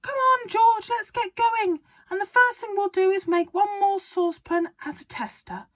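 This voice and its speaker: A woman reading aloud and voicing an excitable, bossy father who shouts his big plans. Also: normal speed. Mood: overexcited. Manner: shouting, overexcited, booming. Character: excitable bossy father, middle-aged man.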